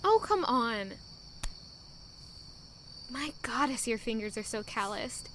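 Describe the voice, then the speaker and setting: An actress playing a kind young maid in an audio drama, talking in an earnest, caring way. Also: female voice, a little high, big pitch swings, takes it slowly, fairly bright tone, slight background noise, heavily acted. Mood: gentle. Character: young woman maid, kind and warm-hearted.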